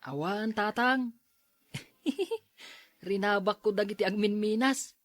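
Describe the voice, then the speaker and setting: A woman's voice: medium pitch, slow, dry recording, lightly acted. A woman playing a cocky, mischievous young shepherd boy in a Filipino audio drama. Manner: playful, boastful.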